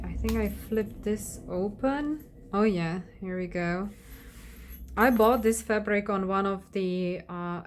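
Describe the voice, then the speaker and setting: Woman, Slovak native, craft vlogger, unhurried, pauses to find words, mid-pitched voice. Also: a little mellow. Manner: hesitant, searching for words, many fillers. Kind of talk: unscripted craft flip-through.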